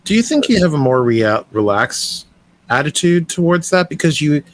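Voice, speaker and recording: A man's voice: a little high, sing-song, normal speed. A man hosting a casual interview, asking chatty, hesitant questions with fillers. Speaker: podcast host.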